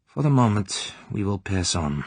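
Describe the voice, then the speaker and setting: A cruel, falsely motherly Soviet colonel, put on by a man reading an audiobook, coaxing in an oily, smooth way. The voice is deep and gravelly with a husky, breathy edge, sometimes sinking to a whisper. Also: sing-song, moderate pace, dry recording, exaggerated voice. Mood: cold, threatening. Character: cruel middle-aged female Soviet colonel interrogator.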